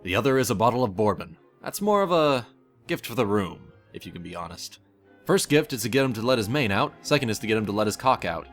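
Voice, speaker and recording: A man's voice: lowish voice, very animated, quick pace, soft background music. A man reading scripted second-person fiction as the narrator of a story.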